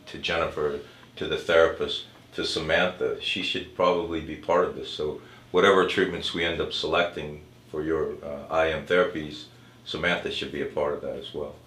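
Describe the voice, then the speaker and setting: A man playing a reassuring cancer doctor in a role-play, explaining things in a measured, hesitant way. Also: rumbling low, steady tone, fairly bright tone. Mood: collegial, reassuring. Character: reassuring male cancer doctor leading a care team. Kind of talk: amateur role-play dramatization. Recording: echoey room.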